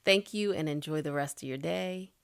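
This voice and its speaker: A middle-aged woman talks through creator tips in an easygoing, chatty way, laughing lightly and restarting her sentences as she goes. Her voice sits a little low, and the recording is dry with no room sound. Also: normal speed, gravelly, clear, resonant tone, no room sound. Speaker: YouTube creator giving tips. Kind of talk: casual spoken tutorial.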